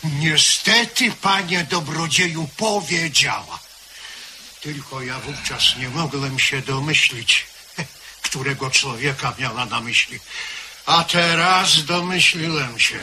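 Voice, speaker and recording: Old radio play: a gentle village priest, slow and measured, a little high, hiss behind. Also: male voice, full character voice. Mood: solemn. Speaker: parish priest. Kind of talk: 1970s radio drama acting.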